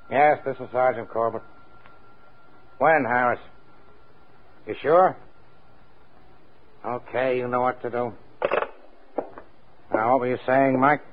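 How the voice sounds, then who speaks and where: The sound is narrow and tinny, an old radio serial with a man acting a hard-boiled police sergeant. His voice is mid-pitched, and he is gruff and skeptical, needling with dry remarks. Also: slow pace, long pauses, a little hiss or hum, close to an everyday voice. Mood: businesslike, skeptical. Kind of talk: 1940s radio crime drama acting.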